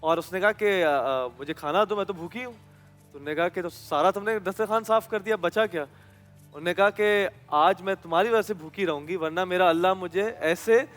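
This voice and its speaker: A man's voice: sits high, normal speed, fairly bright tone, faint music behind. A man with a Pakistani accent telling a religious story conversationally, repeating phrases for emphasis.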